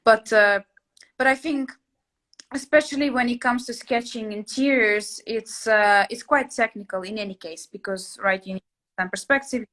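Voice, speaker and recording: A woman's voice: on the high side, normal speed, fairly bright tone. A young woman from Latvia whose first language is Russian, chatting casually as host with many fillers and restarts. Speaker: channel host, interior sketch artist. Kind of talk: casual conversation.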